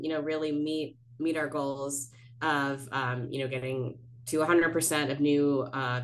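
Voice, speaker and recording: Casting: low-voiced woman, hoarse and froggy from illness, chatty webinar presenter, video-call sound. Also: a bit bright. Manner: conversational, many fillers. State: hoarse from a cold. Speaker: city electric vehicle planner presenting.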